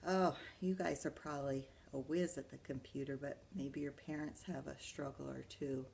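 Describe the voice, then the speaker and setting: A woman's voice: rumbling low, steady tone, normal speed, vocal fry, a little mellow. A woman librarian reading a children's story aloud, expressively, putting questions to her young listeners.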